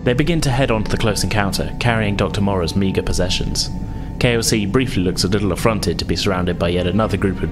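Low-voiced male game master over music. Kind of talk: scripted-sounding tabletop game narration.

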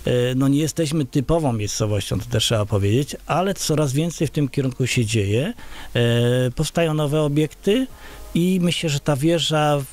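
A man's voice: fairly high pitch, normal speed, darkish tone. A middle-aged man from Poland, a local village head, answering interview questions in a radio studio with many filler sounds and restarts. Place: small radio studio, indoors. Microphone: large studio microphone on a boom arm.

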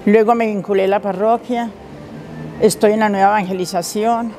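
A woman's voice: medium pitch, fairly even intonation, moderate pace, dark tone, soft background music. An older woman from Colombia with a Colombian Spanish accent, a lay minister of the parish, speaking plainly and earnestly to camera in a church. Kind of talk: testimony to camera. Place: inside a church, before the altar.

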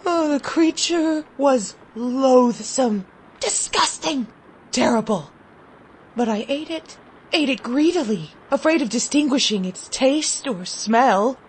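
A woman reading a literary story in the first person, expressive, vivid and deliberate. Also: sits high, a little hiss or hum, rough recording. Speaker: story reader.

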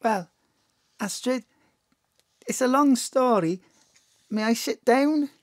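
A woman's voice: on the high side, takes it slowly, no room sound, studio quality. A woman playing a polite, hesitant, old-fashioned mother in her thirties, dignified in grief, in an audio drama. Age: 30s.

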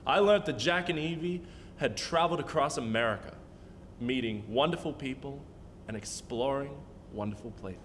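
A man's voice: medium pitch, normal speed, in a large hall, slight background noise, close to an everyday voice. A young man playing a somber young landlord who narrates in a subdued, reflective way. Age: young adult. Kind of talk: narration and dialogue.

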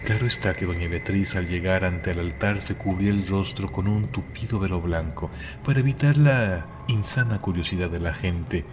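Guy with a low voice telling old horror legends, solemn and dramatic, noisy ambience behind him. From Mexico. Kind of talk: scripted storytelling narration.

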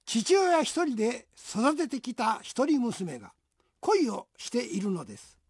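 A woman's voice: high-pitched, slightly rough, no echo, studio quality. A woman hosting a radio show, reading a scripted introduction and narration for a radio drama.

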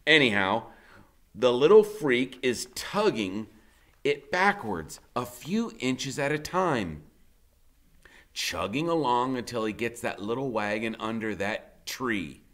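A man's voice: medium pitch, expressive, fairly bright tone. An American man in his fifties, a teacher reading a first-person story aloud with dramatic, conversational emphasis. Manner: dramatic, conversational, drawn-out emphasis on key words. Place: indoor classroom in front of a whiteboard.

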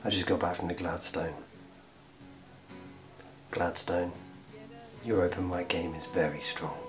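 A man's voice: sits low, steady tone, unhurried, over music. A man in his twenties talking casually to camera as a vlogger, in a small room. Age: 20s. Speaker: vlogger and musician. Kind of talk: casual vlog talk.

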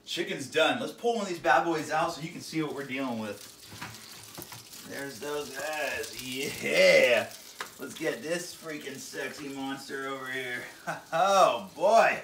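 A man's voice: medium pitch, takes it slowly, a bit bright. A man in his thirties cooks in a small home kitchen and narrates each step, cracking jokes and swearing. His voice sits in a middle range, picked up by a camera mic a few feet off, and it bounces around the room with a faint hum of ambience behind it. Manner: jokey, swears, keeps saying "freaking". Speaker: home cooking show host. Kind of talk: goofy cooking demonstration. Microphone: camera mic from a few feet away. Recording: roomy kitchen echo.

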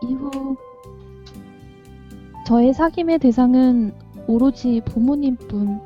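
A woman's voice: high-pitched, takes it slowly. A woman reading a visual novel heroine's dialogue aloud on a game stream. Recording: dull lo-fi voice chat with music.

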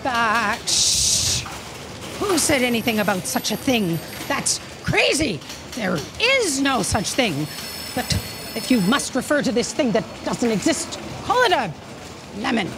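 A woman's voice: on the high side, sing-song, unhurried, noisy background. A woman playing an irritable, secretive, imperious office boss in a staged audio drama. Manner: curt, bossy, theatrical. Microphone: stand microphone on a theatre stage.

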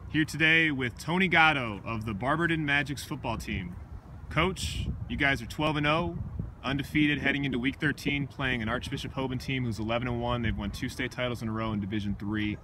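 A man, a newspaper sports reporter putting brisk, friendly questions to a coach outdoors. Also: mid-range voice. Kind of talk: interview questions. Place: outdoors by a school stadium. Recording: outdoor noise and passing traffic.

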